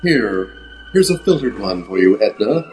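A self-important movie heartthrob in an old-time radio melodrama. He is theatrical and grave about his secret shame, with a lively, swinging pitch. Faint music plays underneath. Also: a little slow. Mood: suave. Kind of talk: improvised old-time radio drama acting.

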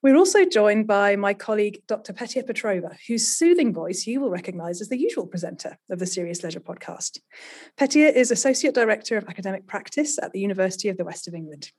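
A woman hosting an academic podcast, reading a measured, welcoming introduction. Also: medium pitch, animated, darkish tone, husky. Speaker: podcast host.

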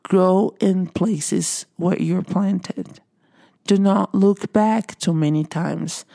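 A woman's voice: on the low side, fairly even intonation, drawn-out words, gravelly, mellow. A middle-aged woman from Brazil with a Brazilian Portuguese accent, giving an earnest motivational talk to viewers and repeating phrases for emphasis. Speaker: motivational speaker. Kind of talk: encouraging monologue to viewers.

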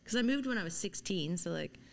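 A woman's voice: lowish voice, animated, fairly quick, gravelly. A young woman with a mild Southern US accent, from Louisiana, chatting casually and self-deprecatingly as a podcast guest. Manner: laid-back and self-deprecating, with filler likes. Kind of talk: casual chat.

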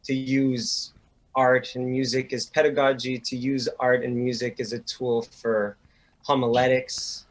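Young guy being interviewed, kinda halting, repeats himself a bit, normal pitch. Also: level tone. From Sweden, raised in Montreal. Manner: hesitant, repeats words as he starts. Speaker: guest, rabbinic student. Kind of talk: interview answers.

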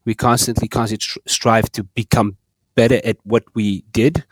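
A man's voice: on the low side, level tone, normal speed, breathy edge, no room sound. Earnest man guest, repeating words. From South Africa. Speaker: guest, store operator. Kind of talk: podcast interview.

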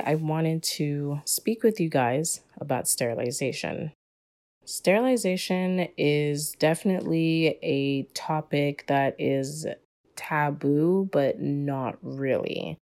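A woman's voice: deep voice, darkish tone. A young woman hosting a solo podcast episode, talking in an earnest, opinionated way as she explains her views. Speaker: podcast guest host.

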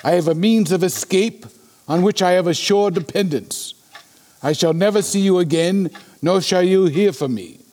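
A man playing a sober, concerned Victorian gentleman in a period drama, speaking in a formal, measured, inquiring way. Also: fairly high pitch. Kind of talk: period audio drama acting.

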